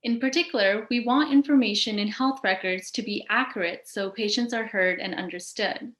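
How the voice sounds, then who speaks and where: A woman's voice: mid-range voice, moderate pace, fairly bright tone. A woman hosting an educational show, reading from a script and putting questions to panelists. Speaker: host of a patient advocacy show. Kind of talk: scripted presentation with question prompts. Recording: echoey room sound.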